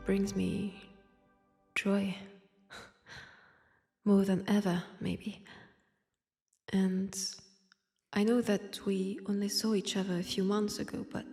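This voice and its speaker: A woman's voice: mid-range voice, level tone, very slow, gravelly, pauses often, mellow, faint music behind. A woman with a French accent playing a wistful, wry centuries-old vampire in a convent, in an intimate audio roleplay, hesitant and sighing. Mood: tender, nostalgic. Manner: hesitant, sighing, drifting into near-whispers. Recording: long church-like reverb.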